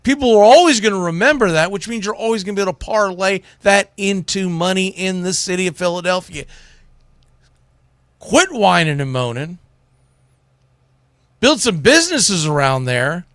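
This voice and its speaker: Animated, high-pitched man ranting about sports. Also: moderate pace, pauses often, dry recording, studio quality. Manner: exasperated ranting, blunt, mildly salty, folksy. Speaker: sports talk radio host. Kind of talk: opinionated sports commentary.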